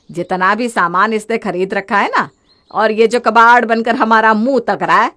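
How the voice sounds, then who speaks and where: A woman voicing a sharp-tongued, thrifty older mother-in-law in a storybook drama, speaking in a lecturing, sarcastic way. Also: mid-range voice. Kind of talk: storybook voice acting.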